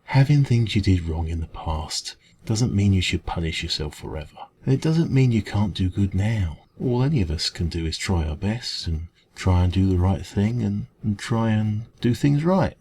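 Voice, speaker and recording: A man with an English accent playing a kind café dragon in an ASMR roleplay, talking gently and reassuringly in a creaky voice. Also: fairly low pitch, lively intonation, moderate pace, few pauses, a little hiss or hum. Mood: earnest, reassuring. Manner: gentle, reassuring, hesitant conversational encouragement. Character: kind, caring male dragon who runs a café. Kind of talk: ASMR roleplay, comforting one-to-one talk.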